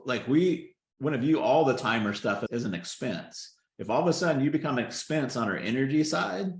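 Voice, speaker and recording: A man's voice: mid-range voice, lively intonation, brisk, roomy sound. A man coaching on a group video call, folksy and emphatic, often checking that his point lands. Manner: emphatic, folksy, repeats "does that make sense". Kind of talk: casual coaching talk.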